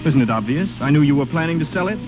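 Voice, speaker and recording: Man playing suave young suitor, self-assured and playful, quick lively delivery, tinny old broadcast. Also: fairly high pitch, natural voice. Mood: breezy, teasing. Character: suave, breezy young hotel owner seeking lost love. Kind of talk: 1930s radio acting. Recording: tinny vintage radio broadcast, music behind.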